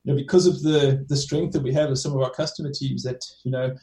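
A man with a South African accent, a team director answering interview questions in a measured way and often restarting his phrases. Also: medium pitch, fairly even intonation, warm tone. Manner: measured, restarts phrases with many "you know"s. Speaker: motorsport team director, guest. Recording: echoey room, distant from the mic.

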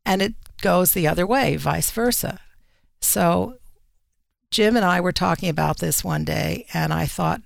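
Woman, heavily creaky gravelly voice, dry sound, conversational interview guest, deliberate measured phrasing. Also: fairly low pitch, no echo. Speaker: magazine editor, radio guest.

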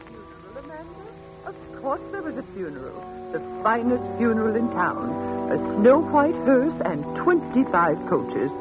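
Casting: nosy small-town matron, swooping lively delivery, old radio play, muffled with music behind. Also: female voice, a little high, measured pace. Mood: chatty, relishing. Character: gossipy, matter-of-fact small-town woman relishing funerals. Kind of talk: 1940s radio suspense acting.

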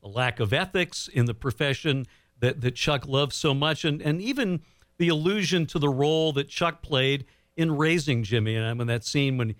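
A man's voice: medium pitch, normal speed, dry recording, clean studio sound. A man hosting an interview, asking conversational questions and offering his own comments. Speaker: radio interviewer, host.

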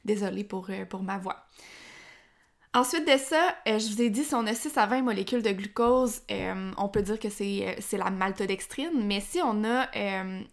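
A woman's voice: medium pitch, normal speed. A young woman with a Québécois French accent hosting a nutrition podcast, talking informally to the listener with many "euh" restarts, slightly congested. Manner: chatty, informal, addresses listener directly, frequent "euh" restarts. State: slightly congested, frog in throat. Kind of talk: solo podcast explainer.

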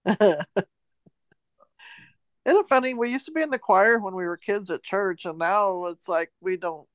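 A woman's voice: mid-range voice, a little slow, long pauses, no room sound. Easygoing American female co-host, mid-range voice, conversational, recorded dry. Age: middle-aged or older. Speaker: podcast co-host. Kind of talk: casual chat.

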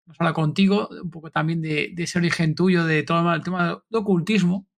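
A man's voice: on the high side, fairly even intonation, fairly quick, vocal fry, noisy background. A man, co-hosting a podcast in a casual, friendly way and steering the conversation. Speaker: podcast co-host. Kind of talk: casual interview chat.

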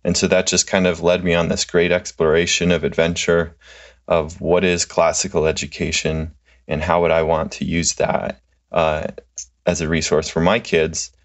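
A man in his thirties, a social worker, answering interview questions in a relaxed, halting way with many fillers. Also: deep voice, lo-fi sound. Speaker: guest, clinical social worker.

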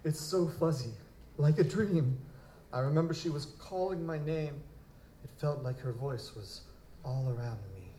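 A man as an anxious, high-voiced young nobleman. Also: measured pace, warm tone, close to an everyday voice. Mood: shaken. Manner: stammering, formal, fretful. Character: anxious, grieving young male nobleman, easily panicked. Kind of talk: live audio drama acting. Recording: echoey live stage, background noise.

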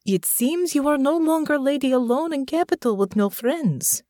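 A woman's voice: lowish voice, animated, takes it slowly, mellow, slightly rough, dry recording, clean studio sound, heavily acted. A woman doing a blunt foreign-accented commoner. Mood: fond. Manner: broken, plainspoken phrasing.

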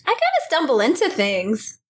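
A woman voicing a bubbly young mermaid who is newly on land, gushing and excitable with a breathy voice. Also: sits high, moderate pace, voice pushed up. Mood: chirpy.

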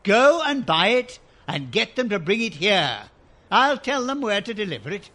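An actor playing an elderly English former miser, giddy and overjoyed, in an excitable, stammering, theatrical radio drama style. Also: male voice, high voice, normal speed, full character voice. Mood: merry, excited. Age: older.

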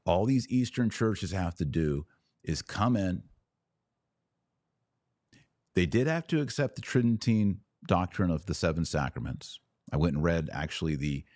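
A man with a very low voice speaks close and dry, like a podcast host thinking aloud. He gives religious commentary in a casual, colloquial style, leaning on short, punchy phrases that he repeats. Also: a little mellow, no room sound.

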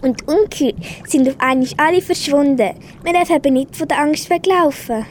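A teenage girl as a high-pitched little Swiss fish. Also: moderate pace, slight background noise, lightly acted. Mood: earnest. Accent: Swiss German. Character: excitable, relieved little fish. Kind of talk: amateur school radio play.

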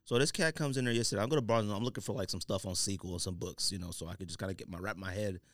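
A man's voice: deep voice, very fast, no echo. A man in his late thirties chatting casually as a podcast host, telling stories in an emphatic, slangy way. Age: late 30s. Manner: animated storytelling, slangy, emphatic.

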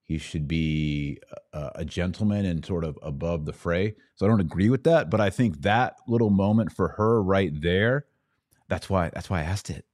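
Low voiced guy hosting a podcast, casual opinionated commentary, kinda mocking when he mimics people. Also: moderate pace, darkish tone. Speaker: podcast host giving political commentary.

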